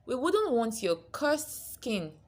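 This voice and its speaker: A woman's voice: on the high side, very animated, takes it slowly, pitched above the performer's own voice, exaggerated voice. A woman voicing a vain, cruel young village beauty in a folktale, with a mocking, haughty manner.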